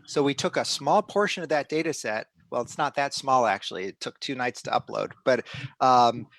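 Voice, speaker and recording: A middle-aged man hosting a live online stream, explaining the project in a relaxed way with many restarts and fillers. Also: mid-range voice, dry recording. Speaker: host of a live citizen-science stream.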